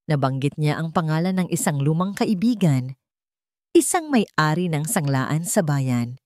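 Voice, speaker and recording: A woman's voice: low-pitched, sing-song, unhurried, darkish tone, dry recording, studio quality. A woman voicing a timid, apologetic elderly housemaid in a story, confiding and hesitant. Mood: fearful, confiding.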